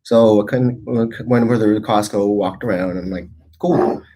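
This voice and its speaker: A man's voice: on the low side, fairly even intonation, normal speed, dark tone. A man in his forties, born in the Philippines, hosting a casual livestream show in a rambling, chatty way with lots of fillers and restarts. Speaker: host.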